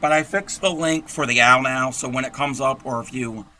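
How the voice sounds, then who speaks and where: A man with a hoarse, scratchy voice who talks through a crochet tutorial in a rambling, chatty way and clears his throat often. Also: mid-range voice, normal speed, sharp tone. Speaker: crochet livestream host.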